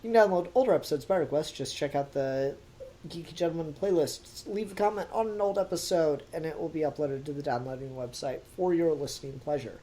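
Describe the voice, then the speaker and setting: A man's voice: on the high side, a little fast, warm tone, low-quality recording. A man hosting a podcast in a casual, rambling review style with a wry, sarcastic edge. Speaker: podcast host.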